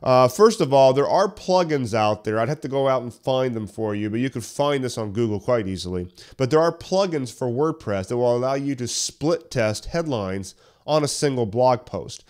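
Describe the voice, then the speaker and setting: A man hosting a solo podcast tutorial, talking conversationally and a little hoarse while getting over a cough, walking listeners through tips step by step. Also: mid-range voice, animated, normal speed, darkish tone. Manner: conversational, explains step by step with questions. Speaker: podcast host. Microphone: studio podcast mic.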